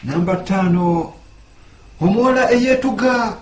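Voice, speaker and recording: A man hosting a countdown list, narrating it in a lively, mocking way. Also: high-pitched, measured pace, echoey room. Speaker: host.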